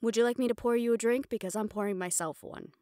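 A woman's voice: fairly high pitch, dark tone, dry recording, natural voice. Woman acting a proud, exasperated underworld assistant, dry sound. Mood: tense, businesslike. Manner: sighing, exasperated, self-reproachful, pausing. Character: mafia boss's proud, frustrated female human personal assistant. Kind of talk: intimate roleplay audio drama.

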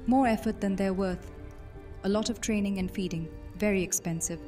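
Mellow, commanding vampire matriarch played by a woman. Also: mid-range voice, normal speed, faint music behind, natural voice. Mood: grave. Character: stern, commanding female vampire head of a house. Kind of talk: immersive fantasy roleplay audiobook acting.

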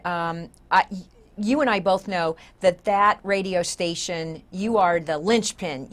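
A mature woman hosting a conversational TV interview in a studio, speaking emphatically. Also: fairly low pitch. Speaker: talk show host. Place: indoor TV talk show studio.

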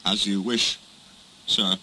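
A man's voice: a little high, very animated, slow, bright, forceful tone, husky, full character voice, low-quality recording. A man voicing a hard-working little storybook engine, grave, polite and dignified. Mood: resigned. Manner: grave, polite, plain-spoken. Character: small hard-working engine, earnest, dignified, put-upon.

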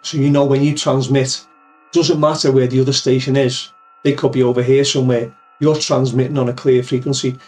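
A man's voice: medium pitch, level tone, a little fast, darkish tone, distant mic, faint music behind. A man explaining things step by step in a casual screen tutorial, informally repeating points for emphasis. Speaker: amateur radio operator giving tips. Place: indoors, voice-over of screen recording.

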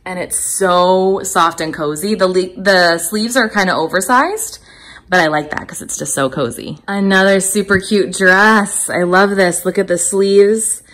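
A woman's voice: medium pitch, measured pace, fairly bright tone. A woman in her thirties chatting casually and enthusiastically as a vlogger, recorded indoors at home on a handheld phone. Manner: chatty, informal, upbeat enthusiasm. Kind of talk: casual vlog chat, clothing try-on commentary. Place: furnished rooms at home, indoors. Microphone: phone held at arm's length.

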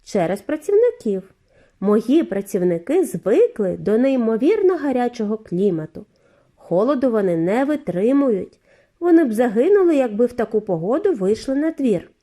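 A woman voicing a giddy, eccentric factory owner in a storybook reading, with a high, clear, flute-like voice and effusive, drawn-out greetings. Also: big pitch swings, mellow. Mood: gushing. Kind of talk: audiobook character voice.

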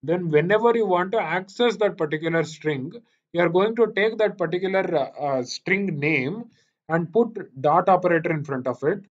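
A man's voice: high voice, animated, moderate pace. A man with an Indian accent giving a live online programming lecture, repeating himself and often checking that students follow. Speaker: programming teacher. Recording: dull, lo-fi online meeting audio.

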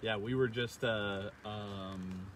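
An American man in his thirties with a fairly low voice talks casually as a visiting pastor being interviewed. He is outdoors, with clear ambient noise behind him. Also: steady tone, slow, a bit bright. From Iowa, United States. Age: 30s. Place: outdoors on a lakeshore beach.

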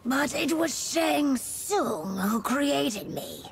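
A feral monster woman from a fighting game, high and swooping. She speaks with theatrical, proud menace, drawing out her threats very slowly. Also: lively intonation, gravelly, full character voice. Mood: proud, defiant. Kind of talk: video game fighting banter.

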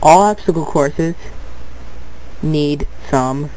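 A man's voice: fairly high pitch, takes it slowly, darkish tone, slight background noise, poor audio. Stuffed-up young man rambling through a tutorial. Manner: rambling, repeats "but anyway", restarts words. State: stuffed-up nose from a cold. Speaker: tutorial video maker. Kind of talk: casual screen-recorded tutorial.

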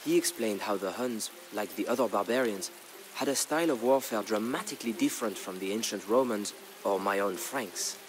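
A man narrating a historical tale as a dramatic scripted story, in a measured, grave storyteller's delivery. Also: lowish voice, slight background noise, poor audio. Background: background music.

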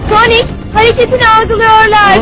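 A woman's voice: very high-pitched, little pitch movement, normal speed, over loud music, over a noisy crowd, low-quality recording. A woman playing an earnest, caring young squirrel team leader in a dubbed cartoon, serious and concerned.

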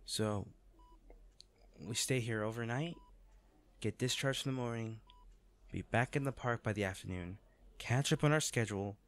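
A man playing an injured, devoted boyfriend in an intimate audio roleplay, speaking tenderly, earnestly and haltingly. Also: mid-range voice, natural voice. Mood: weary, reassuring. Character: injured, devoted boyfriend proposing from a hospital bed.

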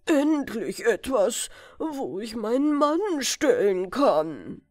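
Gluttonous young fairytale prince, read by a woman: self-satisfied, eager, drawn-out delivery. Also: on the high side, very animated, no echo, clean studio sound, heavily acted. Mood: boastful.

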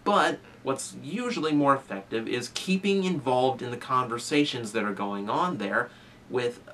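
A man's voice: mid-range voice, animated, normal speed, a bit bright, recorded from a distance, slight background noise. A young man giving earnest advice straight to camera, talking conversationally with fillers and restarts while gesturing with both hands. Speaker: toy reviewer. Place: small room with shelves of toys, indoors.

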